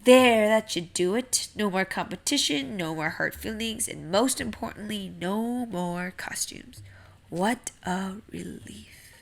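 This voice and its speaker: A woman's voice: mid-range voice, slow, vocal fry. A woman voicing a grumpy, anxious male seed in a theatrical, announcing way for a children's storybook. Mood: relieved. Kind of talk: children's picture book read-aloud.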